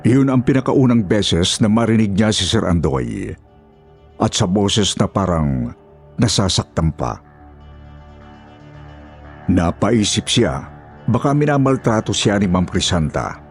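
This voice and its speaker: A man's voice: on the low side, expressive, unhurried, long pauses. A man reading a scripted horror story as its narrator. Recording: music behind the voice.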